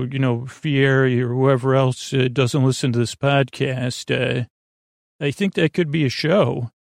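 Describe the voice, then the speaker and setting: Man, creaky vocal fry, medium pitch, dry room-free sound, soothing, meandering improvised monologue, word fumbles, self-deprecating podcast host. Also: dry recording. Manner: soothing, lulling.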